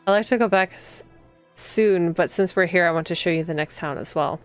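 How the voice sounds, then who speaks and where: A woman's voice: lowish voice, a little fast, faint music behind, lightly acted. A woman reading the part of a proud, coldly cruel male prison officer in a visual novel, formally. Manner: formal, passive-aggressive.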